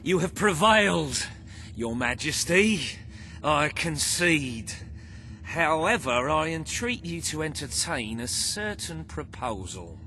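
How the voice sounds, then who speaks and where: A self-important crime boss from a video game. He is slow and deliberate, with a bright, forceful edge. His diction is florid and long-winded, easily tipping into indignation. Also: a little high, very animated, a little hiss or hum, heavily acted. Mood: pleading, bargaining. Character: pompous, verbose male crime boss, scheming and indignant. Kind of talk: theatrical video game voice acting.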